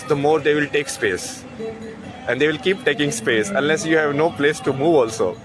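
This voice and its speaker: A man talking in a rambling, casual way, with lots of "like" and "no?". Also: on the high side. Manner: rambling, uses "like" and "no?" a lot, chuckles. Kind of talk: casual monologue.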